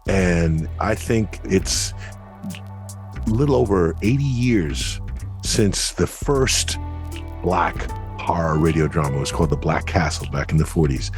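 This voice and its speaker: A man co-hosting a podcast, giving thoughtful, measured opinions in a conversational style. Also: low-pitched, soft background music. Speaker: podcast co-host.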